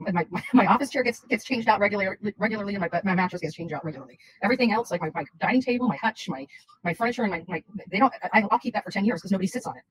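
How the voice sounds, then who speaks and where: A woman's voice: medium pitch, rapid-fire, slightly rough, rough recording. A woman chatting casually on a livestream in a blunt, rambling, insistent way.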